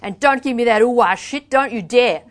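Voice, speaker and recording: A woman's voice: mid-range voice, lively intonation, a little fast, fairly bright tone, pitched above the performer's own voice, close to an everyday voice. A livid woman carer performed by a woman reader in a storybook reading, her voice pushed well up from a normal register. She is blunt and accusing, confrontational and at the end of her patience. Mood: furious, scathing. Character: furious, exhausted woman carer, scathing and confrontational. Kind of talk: dramatic book reading.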